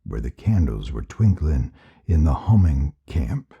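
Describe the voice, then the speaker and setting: A dramatic storyteller , acted by a man, reads an audiobook in a Southwestern United States accent. The voice is extremely low and raspy, swinging expressively, with theatrical weight. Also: sing-song, unhurried, dark tone, dull audio, exaggerated voice. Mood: theatrical, hushed drama. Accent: Southwestern United States, put on. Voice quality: deep, husky.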